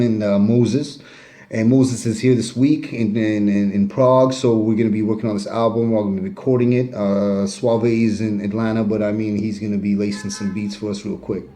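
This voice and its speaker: A man's voice: lowish voice, warm tone. A middle-aged man, a rapper talking about himself in a casual, rambling way, full of "you know" and swearing, recorded in his kitchen. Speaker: rapper interviewee. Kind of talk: casual self-recorded interview. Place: home kitchen.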